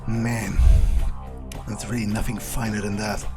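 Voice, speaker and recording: A man role-playing a proud, power-hungry, foul-mouthed vampire in a video game playthrough, thinking aloud in a halting way. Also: lowish voice, little pitch movement, music in the background, lightly acted. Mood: gloating reverie. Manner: musing aloud, halting, self-satisfied. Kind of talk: in-character game playthrough monologue.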